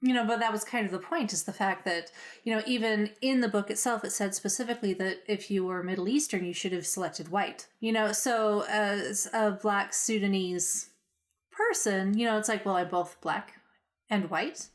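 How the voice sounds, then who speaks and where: A woman's voice: mid-range voice, normal speed. A woman co-hosting a podcast, speaking thoughtfully in casual chat with many fillers. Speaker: podcast co-host.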